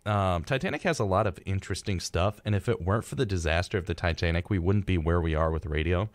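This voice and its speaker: A man's voice: sits low, quick pace, no room sound. A young man hosting a radio show, chatting casually and reading out facts in an informal, wry way. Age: young adult.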